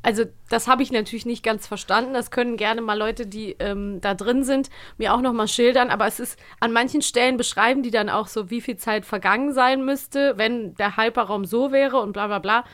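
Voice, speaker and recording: A woman's voice: a little high, brisk, few pauses, a bit bright. A woman co-hosting a podcast in casual chat, giggly and enthusiastic. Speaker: podcast co-host.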